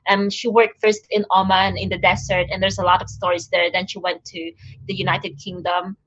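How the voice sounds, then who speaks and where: Soft-spoken woman on a video call. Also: mid-range voice, little pitch movement, normal speed, dull audio. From Philippines. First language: Tagalog. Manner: soft-spoken, searching, with frequent ums. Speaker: guest poet. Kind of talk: interview answers.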